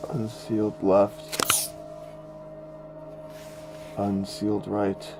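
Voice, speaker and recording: A man's voice: fairly low pitch, completely flat delivery, extremely slow, music in the background, rough recording. A man playing a loyal, plainspoken security guard in a science fiction audio drama, with an understated delivery. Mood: drained, exhausted.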